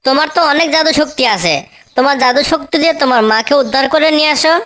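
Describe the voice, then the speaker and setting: A woman voicing a kind, determined little tailorbird in a cartoon story, brisk and eager to help. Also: high voice, fairly bright tone. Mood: reassuring.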